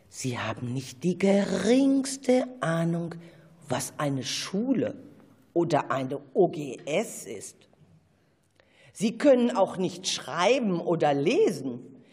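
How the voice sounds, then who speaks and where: A woman's voice: fairly low pitch, slightly rough. A woman narrating an amateur radio play in a scripted storytelling style. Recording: echoey hall with long reverb.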